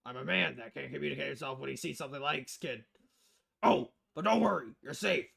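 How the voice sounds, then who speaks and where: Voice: medium pitch, a little fast, creaky, fairly bright tone, husky, pitched below the performer's own voice, full character voice. A streamer voicing a blunt, pompous, middle-aged male newspaper editor in a visual novel game. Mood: brash, insulting.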